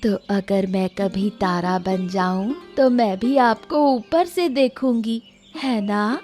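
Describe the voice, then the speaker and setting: A woman voicing an innocent, wistful little village girl in an animated moral story. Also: mid-range voice, slow pace, faint music behind, lightly acted. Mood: wistful, curious.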